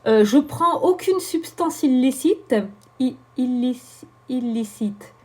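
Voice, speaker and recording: Chatty woman, high voice. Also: slow pace, breathy edge. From southern France. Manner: animated, swearing, laughing, self-mocking. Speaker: tarot card reader. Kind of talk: casual chatty vlog.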